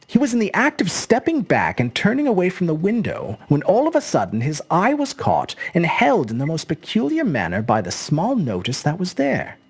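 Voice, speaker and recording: A storyteller narrating a scripted short story in an expressive, measured, dramatic manner. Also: medium pitch, breathy edge. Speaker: storyteller, host.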